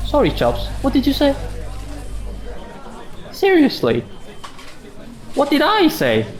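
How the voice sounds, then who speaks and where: A man's voice: fairly high pitch, heavily acted. A man playing a sarcastic, defensive office worker husband in a radio drama, sardonic and emphatic. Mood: indignant. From Indonesia. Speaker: actor in a student radio drama.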